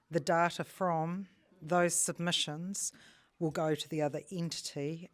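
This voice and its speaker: A woman explaining things in a measured way as she facilitates a public meeting. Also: sits low.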